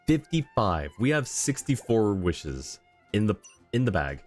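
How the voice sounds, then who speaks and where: A man with a low voice talks casually as a game streamer. He is easygoing and often thinks aloud, with faint music in the background. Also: sing-song, a little slow, darkish tone. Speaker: game livestreamer. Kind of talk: casual livestream chat.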